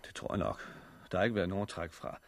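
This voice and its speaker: An actor playing a hospital patient in a 1960s radio drama, moving theatrically between muttering and exclaiming. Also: male voice, low-pitched, fairly even intonation, vocal fry, dry recording. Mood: calm, wry. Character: bandaged hospital patient, newly able to see among the blind.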